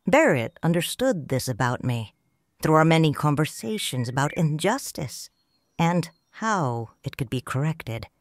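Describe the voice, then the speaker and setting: A woman's voice: low-pitched, big pitch swings, measured pace, no echo. A woman narrating a romance audio drama in first person, tender and reflective. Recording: dry studio voice with soft music.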